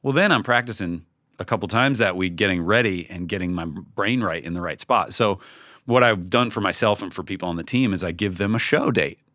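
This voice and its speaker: A man giving casual, conversational advice as a podcast guest, informal and halting, often acting out bits of imagined dialogue. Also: low-pitched, sharp tone, dry recording, studio quality. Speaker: podcast guest, creative team leader.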